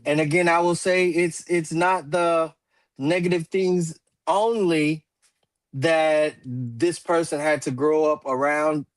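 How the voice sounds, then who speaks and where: A man in his forties hosts a roundtable discussion at an unhurried, deliberate pace. His voice sits a little high for a man and is recorded close and dry. He pauses often and restarts his sentences. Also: steady tone, dry recording, poor audio. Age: 40s. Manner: measured, many fillers and restarts, clears throat. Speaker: talk show host.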